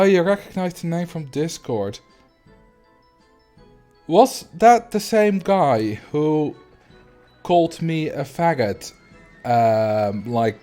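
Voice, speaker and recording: A man with a Dutch accent, a native Dutch speaker, casually chatting with his livestream viewers and answering chat in a relaxed, rambling way with frequent ums and light laughs. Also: a little high. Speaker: streamer.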